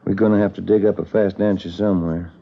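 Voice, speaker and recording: Low-voiced, weary police detective. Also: male voice, fairly even intonation, fast, gravelly. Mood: grim, low-key. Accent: American. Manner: low-key, clipped. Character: plainspoken, weary male police detective partner. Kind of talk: 1950s radio drama acting. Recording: dull vintage radio recording.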